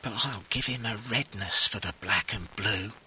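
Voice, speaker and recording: A man's voice: lowish voice, moderate pace, bright, forceful tone, breathy edge, no echo, voice pushed down, full character voice. A man voicing a vengeful dead woman who gloats with suppressed laughter over her husband's murder, in a read-aloud story. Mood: gloating.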